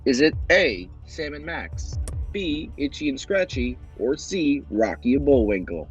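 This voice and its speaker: A man's voice: medium pitch, sing-song, a little slow, muffled recording. A man hosting a quiz show, addressing contestants directly in a presenter's delivery. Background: background music.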